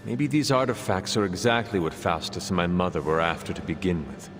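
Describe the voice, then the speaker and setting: Man playing a low-voiced stoic warrior. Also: a little fast, natural voice. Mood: measured. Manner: terse, reserved, formal. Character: reserved, weary ancient warrior man, stoic. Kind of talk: video game cutscene acting. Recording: hall reverb under music.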